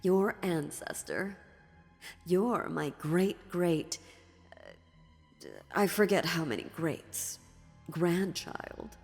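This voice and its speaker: A woman playing an ancient, sly and dominant female demon in a dark fantasy audio roleplay, taunting theatrically with deliberate pauses. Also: on the low side, animated, exaggerated voice. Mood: smug, amused. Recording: long reverb, music underneath.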